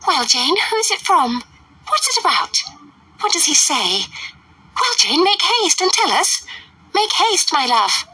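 Woman putting on a shrill gushing mother, high and bright, breathy, set back from the mic. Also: big pitch swings, measured pace, dry recording, far from the mic, heavily acted, low-quality recording. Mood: fussing. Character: fussy excitable middle-aged mother.

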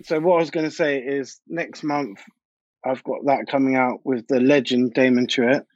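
A man's voice: medium pitch, steady tone, normal speed, dry recording. Male interview guest, informal slangy chat, close and dry, slightly muffled lo-fi sound. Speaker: DJ and music producer, interview guest.

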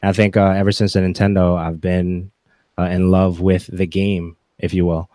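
A man in his forties talking reflectively as a podcast guest, with halting, deliberate phrasing. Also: low-pitched, little pitch movement, dark tone, no echo, studio quality. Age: 40s. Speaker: podcast guest, gaming content creator. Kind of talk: reflective interview talk.

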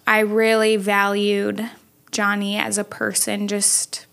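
A young woman talking thoughtfully and softly in a casual interview, pausing on fillers as she recalls things. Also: medium pitch, completely flat delivery, creaky. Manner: thoughtful, soft-spoken, with fillers.

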